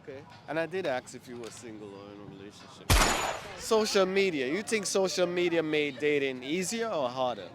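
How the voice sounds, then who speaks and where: A man's voice: on the high side, big pitch swings, brisk, a crowd in the background. Blunt young male street interviewer. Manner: blunt, casual questioning. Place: outdoors on a city street.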